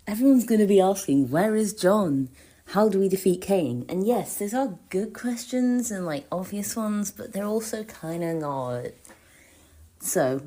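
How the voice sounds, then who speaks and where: Low voiced woman reading out her fan theory pitch, playful n rhetorical. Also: warm tone. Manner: playfully rhetorical, poses questions and answers them. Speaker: listener sending in a voice submission. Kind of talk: prepared persuasive fan monologue.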